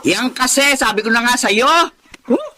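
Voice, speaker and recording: A woman's voice: on the high side, normal speed, pushed and bright, a little hiss or hum. A woman playing an exasperated, nagging middle-aged mother in a Filipino radio drama, scolding and complaining emphatically with a Filipino accent. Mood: irritated.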